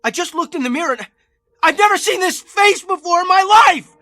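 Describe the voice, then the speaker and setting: An actor playing a bewildered, uneasy husband in a radio drama. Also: male voice, very high voice, animated, fairly quick, bright, forceful tone, full character voice. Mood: panicked, agitated. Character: bewildered, panicky husband waking up, unsettled by his reflection. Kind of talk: modern radio drama acting. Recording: music under the voice.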